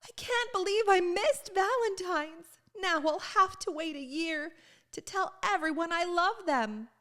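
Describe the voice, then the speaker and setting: A woman's voice: extremely high pitch, animated, a little slow, bright, forceful tone, voice pushed up, heavily acted. A woman voicing a sweet, anxious storybook girl with a timid, tearful, tiny voice. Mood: dismayed. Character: Ruby Valentine, sweet anxious girlish storybook heroine.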